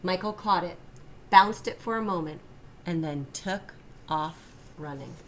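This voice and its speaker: A woman reading a children's story aloud like a teacher, expressive and deliberate, stressing the key phrases. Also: lowish voice, slight background noise. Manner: expressive, deliberate, emphasizes and repeats key lines. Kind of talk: children's read-aloud narration.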